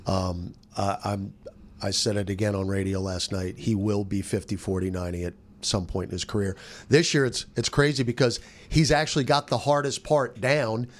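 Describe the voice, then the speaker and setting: A man's voice: lowish voice, fairly even intonation, normal speed. An American man, a sports radio announcer, giving casual analysis on a roundtable discussion.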